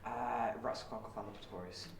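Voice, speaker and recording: A man's voice: fairly low pitch, steady tone, a little fast, far from the mic. Man chatting in a very echoey, noisy room. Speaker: auditionee and fan interviewee. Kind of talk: casual interview chat.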